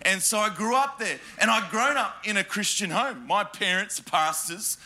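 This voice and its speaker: An Australian man preaching to a church congregation. His voice sits high and rings very bright. He throws questions at the crowd and mixes jokes into his stories. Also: normal speed. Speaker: pastor. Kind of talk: sermon with personal stories. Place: church hall before a congregation.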